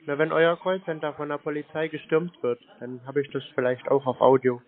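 A man's voice: fairly high pitch, brisk. A man in a casual phone conversation, baiting scam callers in a laconic, teasing manner. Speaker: prank caller baiting scam call centers. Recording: band-limited phone-call audio.

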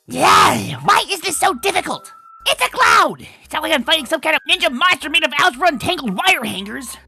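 A woman's voice: sits high, very animated, brisk, gravelly, bright, forceful tone, faint music behind, full character voice. A woman voicing a brash, cocky young female pony hero in a comic dub, boastful, impatient and dramatic. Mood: defiant, angry.

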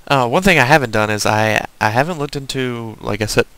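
Male gaming host, Southern US accent, mid-pitched, casual and rambling, talking to himself while playing. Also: fairly quick, a bit bright, clean tone, no room sound. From southern United States. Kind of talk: casual unscripted gameplay commentary.

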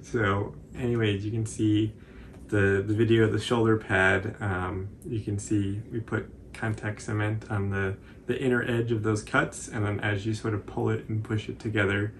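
A man's voice: on the low side, flat delivery, normal speed, a little hiss or hum. A man in his twenties with an American accent, chatting casually through a craft tutorial as host. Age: 20s. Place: small indoor room at home, on a couch.

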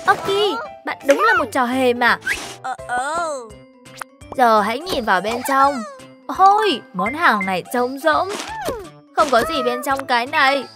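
Woman voicing an excitable, high-pitched little cartoon animal child. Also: a little slow, long pauses. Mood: playful. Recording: music behind the voice.

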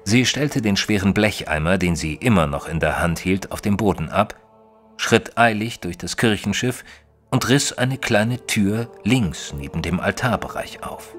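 An actor playing an educated, observant gentleman who narrates his own story in a formal, literary audio drama style. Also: lowish voice, lively intonation, measured pace, faint music behind. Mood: measured narrating. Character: male narrator Mister Tristram, educated, observant, easily flustered gentleman. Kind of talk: scripted first-person audio drama narration.

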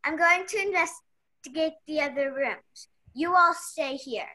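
A young woman playing a brisk, bossy female detective in a radio mystery play. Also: sits high, pushed and bright. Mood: commanding.

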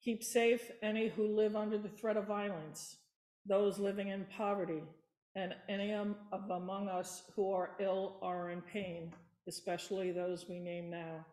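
A woman reading intercessory prayers at a church lectern, slow and even, with an occasional stumble. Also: mid-range voice. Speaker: worship leader. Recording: echoey church room.

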